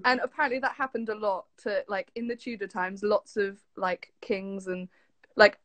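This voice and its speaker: A woman's voice: mid-range voice, steady tone, moderate pace, a bit bright, no room sound. A young woman with an English accent chatting casually and informally as she shares fun facts, with a giggly manner. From southwest England. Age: young adult. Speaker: guest, former camp staffer.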